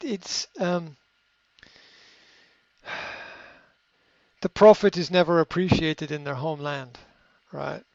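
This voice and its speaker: A man speaking in a fairly high voice, coaching in an unscripted, reflective way. He pauses with fillers and restarts sentences as he thinks. The recording is dry and a little muffled. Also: vocal fry, dry recording. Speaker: coach answering a question. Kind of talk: unscripted advice talk.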